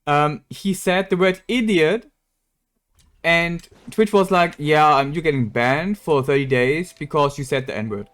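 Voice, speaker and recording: A man's voice: on the high side, measured pace, fairly bright tone. A man chatting casually as he streams a game, blunt and sweary in his rambling. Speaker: game livestreamer. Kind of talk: casual gaming stream chat.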